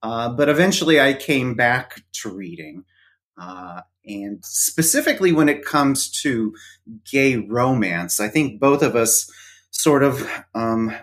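A middle-aged man of medium pitch, chatting as a guest on a podcast. He talks in a loose, hesitant way, pausing often on ums and uhs as he gathers his thoughts. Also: sing-song, fairly bright tone. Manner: hesitant, many fillers. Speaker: podcast co-host, interview guest. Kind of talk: casual conversation.

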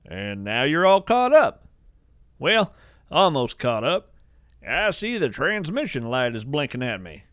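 A man's voice: on the high side, very animated, measured pace, fairly bright tone, no echo, studio quality. A man hosting and narrating a scripted storytelling podcast. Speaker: host and narrator. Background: plucked mandolin music.

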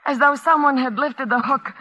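Shrill, frightened rich invalid wife. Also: female voice, fairly even intonation, moderate pace, sharp tone, a little hiss or hum. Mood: terrified. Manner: frantic, pleading, imperious, breathless repetition. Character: wealthy, frightened, demanding invalid wife alone at night. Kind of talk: 1940s radio thriller acting. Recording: dull old radio broadcast.

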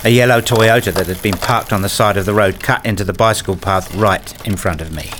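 Low-voiced man narrating a story. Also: brisk, background noise. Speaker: narrator and lead of a radio play. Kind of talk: scripted first-person narration.

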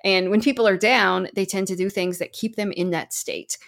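A woman's voice: mid-range voice, steady tone, normal speed, gravelly, a bit bright. A woman speaking as a therapist guest in an interview, explaining advice conversationally and illustrating it with example quotes.

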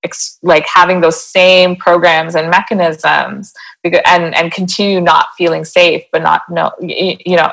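Earnest Canadian woman, low-pitched. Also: creaky, a bit bright, poor audio. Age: 30s. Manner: earnest, rambling with many fillers. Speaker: guest, transformation coach. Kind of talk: casual interview chat.

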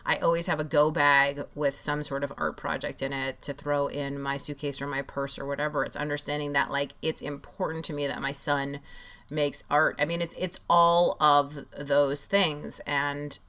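A woman's voice: low-pitched, little pitch movement, moderate pace, a bit bright. A woman hosting an interview, reflective and enthusiastic, with many fillers as she talks. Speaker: podcast host. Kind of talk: conversational interview.

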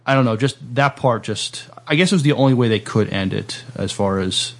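A man's voice: on the low side, fairly quick, creaky. A man hosting a casual podcast interview, speaking in a hesitant, agreeable way. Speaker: podcast host.

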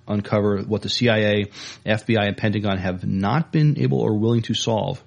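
A man's voice: on the low side, vocal fry. A man co-hosting a podcast, reading entertainment news and chatting in a hesitant, agreeable way. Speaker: podcast co-host.

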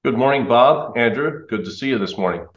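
A man's voice: mid-range voice, lively intonation, brisk. A middle-aged man explaining things as an interview guest, over a dull, roomy remote connection. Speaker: interview guest, timber framing company manager.

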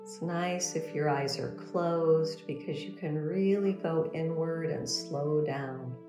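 A woman leading a guided meditation as a teacher, speaking in a gentle, soothing, unhurried instructional manner. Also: low-pitched. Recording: roomy echo under background music. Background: meditation music.

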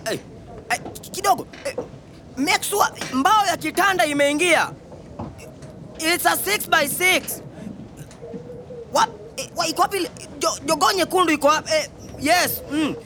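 A woman with a Kenyan accent playing a hurried, bossy passenger hauling furniture onto a train. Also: very high voice, noisy background. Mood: flustered. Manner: hurried, bossy, mixes English and Swahili. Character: flustered adult passenger hauling furniture aboard.

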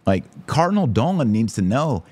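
A man's voice: medium pitch, very animated, moderate pace, a little mellow, no room sound. A man hosting a live podcast, giving opinionated commentary and answering listeners in an emphatic, preacherly, indignant way. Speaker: podcast host.

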